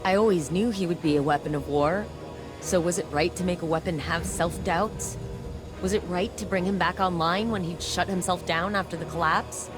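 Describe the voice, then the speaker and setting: A troubled female scientist played by an actress reflects in a low, sincere voice over clear background music. She sounds weary and burdened by guilt, as in a dramatic game monologue. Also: normal speed, lightly acted. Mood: troubled, regretful. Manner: reflective, hesitant, earnest.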